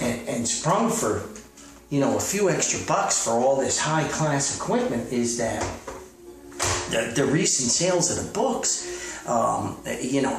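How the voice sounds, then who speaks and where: A man's voice: mid-range voice, recorded from a distance. A man giving a rambling, casual comic monologue in a folksy, hesitant, mock self-important way. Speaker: author hosting his own comedic podcast. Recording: echoey room, off mic, music.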